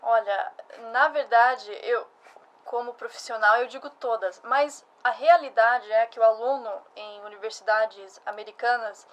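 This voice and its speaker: Woman in her thirties, academic director type, explains patiently, voice a bit high. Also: a little slow, highs cut off. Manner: explanatory, uses fillers like "ah" and "né". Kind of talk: casual interview answers.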